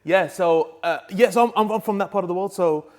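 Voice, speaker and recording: Relaxed man in his thirties, says "bro". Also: sits high, brisk. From Iran. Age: 30s. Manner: animated, says "bro" often. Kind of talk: casual interview chat. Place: martial arts gym.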